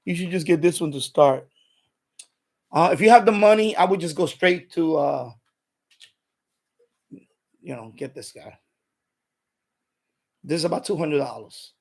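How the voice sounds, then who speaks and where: A man's voice: a little high, measured pace, vocal fry, pauses often, a little mellow. A man hosting an unscripted hobby livestream, chatting in an emphatic, opinionated way and repeating himself to drive points home. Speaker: live stream host.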